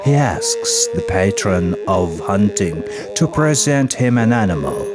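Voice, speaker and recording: Male narrator for folk epic, lowish pitch, lively intonation, formal measured storytelling. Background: music.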